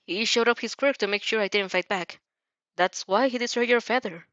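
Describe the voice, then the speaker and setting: A woman's voice: a little high, normal speed, a bit bright, no echo, muffled recording, natural voice. Woman voicing an anxious, faltering teenage girl student. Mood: anxious, confessing. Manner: hesitant, faltering account.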